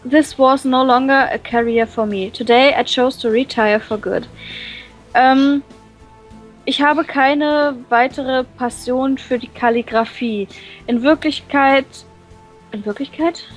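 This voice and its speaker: A woman reading a visual novel aloud and translating it on the fly, halting and full of fillers. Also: high voice, faint music behind, poor audio. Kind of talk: casual let's-play reading.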